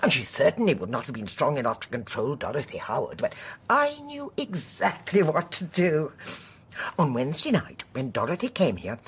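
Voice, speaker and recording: A husky, haughty elderly poisoner. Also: female voice, fairly low pitch, heavily acted. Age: older. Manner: chatty, imperious, emphatic, politely menacing. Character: genteel, haughty elderly female poisoner, chillingly unrepentant. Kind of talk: radio drama acting.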